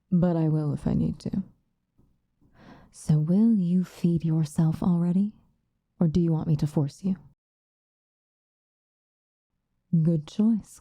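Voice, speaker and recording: Woman acting a dark, velvety, possessive female vampire. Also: on the low side, no echo, clean studio sound, lightly acted. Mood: stern, impatient. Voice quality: soft, breathy, often dropping to a whisper. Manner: slow, coaxing, condescendingly affectionate. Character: possessive female vampire lover, doting yet menacing. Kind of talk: ASMR roleplay monologue. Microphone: close microphone.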